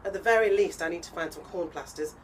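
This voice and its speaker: A cheerful and practical eccentric lady voiced by a woman in an audio drama. She talks quickly, with a chatty, fond manner. The recording carries clear noise. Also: lowish voice, natural voice. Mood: cheerful, brisk. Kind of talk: scripted audio drama acting.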